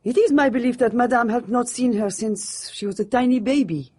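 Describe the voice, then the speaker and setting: An actress in a radio drama playing a guarded, defensive maid, formal in speech. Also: female voice, fairly high pitch, a little fast, dark tone, husky. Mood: matter-of-fact. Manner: formal, guarded, curt denials. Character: guarded, defensive French maid loyal to her dead mistress.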